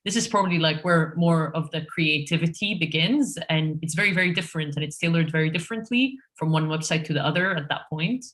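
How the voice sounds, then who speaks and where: A woman from Egypt answering interview questions on a podcast in a relaxed way, with frequent fillers and restarts. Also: low voice, quick pace, hardly any pauses. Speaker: podcast guest, head of SEO.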